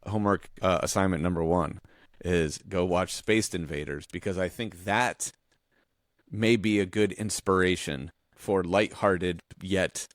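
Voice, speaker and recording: A man's voice: low-pitched, fairly even intonation, a little slow, dry recording, studio quality. A man co-hosting a podcast, brainstorming casually in loose, slangy, sweary language. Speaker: podcast co-host.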